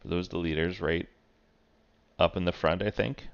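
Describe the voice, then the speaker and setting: A man's voice: low-pitched, steady tone, normal speed, smooth, muffled recording. A man streaming sim racing, casually talking through a race replay and thinking aloud with many fillers.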